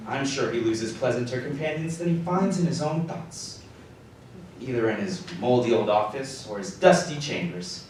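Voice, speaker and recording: Man playing good-humored nephew, lively and very echoey. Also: mid-range voice, normal speed, natural voice. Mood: forgiving, earnest. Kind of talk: live radio drama acting. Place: theater stage before live recording. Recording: distant, very echoey live stage, faint noise.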